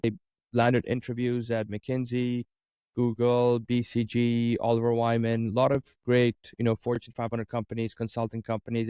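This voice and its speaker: A man from Dubai speaks as an interview guest in a soft, mellow tone with a dry, close sound. The recording is slightly dull. He explains his coaching work conversationally, often listing examples. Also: mid-range voice, clean tone, no echo. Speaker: interview guest, career coach and business owner.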